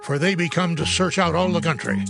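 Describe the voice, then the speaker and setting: Man playing a gravelly, imperious ancient king. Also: a little high, fairly even intonation, brisk, loud music behind the voice. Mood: demanding. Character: commanding pagan king demanding captives. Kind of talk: dramatized scripture audio.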